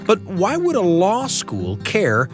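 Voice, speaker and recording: A man's voice: sits high, huge pitch swings, slow pace, music in the background. A man hosting a storytelling show, narrating in a conversational, thoughtful and explanatory way with emphatic phrasing. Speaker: radio storytelling show host.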